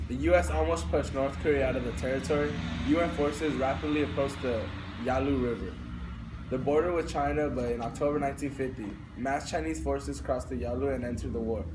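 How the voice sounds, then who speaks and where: Distant young man reporting over noise. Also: medium pitch. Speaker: news correspondent. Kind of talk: scripted history report. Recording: distant mic with clear background noise.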